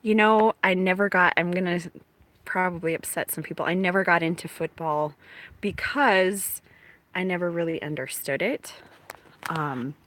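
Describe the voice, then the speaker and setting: A woman with a slightly low, slightly raspy voice talks casually to viewers on a live stream from her phone outdoors. She sounds congested from allergies and keeps her voice hushed, often trailing into near whispers. She is originally from Minnesota. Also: normal speed. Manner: soft-spoken, often hushed, laughing to herself. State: congested from allergies after a chest cold. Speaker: livestream host. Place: outdoors during a thunderstorm. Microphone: phone held outdoors.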